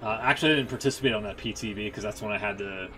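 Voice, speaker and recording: A man's voice: lowish voice, very fast, fairly bright tone, faint music behind, poor audio. A man hosting a game stream with casual, opinionated, rambling commentary and some swearing.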